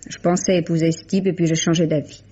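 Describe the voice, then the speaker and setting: A woman's voice: sits low, flat delivery, fast talker, warm tone, a little hiss or hum, close to an everyday voice. An actress playing an impatient, evasive woman on the run in a vintage radio crime drama, curt and defiant. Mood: grudging. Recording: dull lo-fi vintage radio recording.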